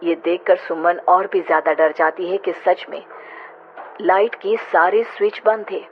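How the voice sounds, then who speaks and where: A woman's voice: lowish voice, steady tone, measured pace. A woman giving scripted narration of a horror story as its narrator. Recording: tinny, noisy band-limited audio.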